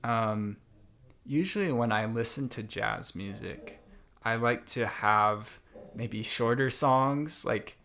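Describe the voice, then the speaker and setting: A young man as a podcast guest, chatting in a thoughtful, unhurried way with many fillers and restarts. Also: medium pitch, pushed and bright. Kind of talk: casual interview conversation.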